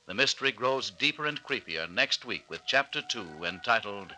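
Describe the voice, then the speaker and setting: A man narrating an old-time radio serial as announcer, with dramatic, portentous, deliberate phrasing. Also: fairly low pitch, expressive, bright, forceful tone, low-quality recording. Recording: old radio recording with faint hiss.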